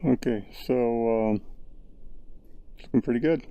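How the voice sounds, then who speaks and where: A man narrating his VR sculpting session in a relaxed, rambling way, thinking aloud with lots of fillers, recorded on a headset's built-in mic. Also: medium pitch, a little slow, smooth, lo-fi sound. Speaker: VR sculpting creator. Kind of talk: casual tutorial narration.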